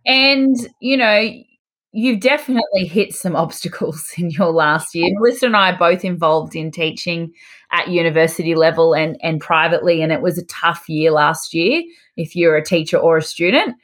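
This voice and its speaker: A woman's voice: lowish voice, moderate pace, fairly bright tone. An Australian woman chatting casually as a podcast co-host. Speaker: podcast co-host, dental hygienist and educator.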